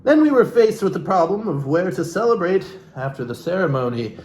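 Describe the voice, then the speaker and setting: Echoey, higher-voiced man doing sardonic read-aloud. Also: big pitch swings, fairly quick, darkish tone. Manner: irreverent, sardonic, swearing. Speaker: reader and host of a comic book reading. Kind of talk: mocking read-aloud with casual asides. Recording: roomy echo with background noise.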